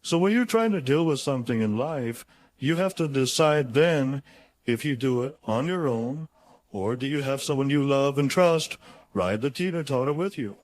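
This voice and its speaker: A man's voice: medium pitch, expressive, normal speed, darkish tone. A man reading his own motivational audiobook chapter in an informal, folksy and emphatic conversational way.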